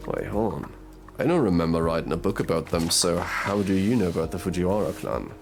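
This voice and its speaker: A man playing a gruff, sardonic detective in an audio roleplay drama, drawling and murmuring through his pauses. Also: low voice, expressive. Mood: probing. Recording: music behind the voice.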